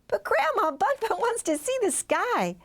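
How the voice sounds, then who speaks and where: A woman voicing an excitable, tender preschool-age girl in a storybook, with pleading, sing-song exclamations. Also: very high-pitched, unhurried, voice pushed up, heavily acted. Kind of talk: children's storybook character voice.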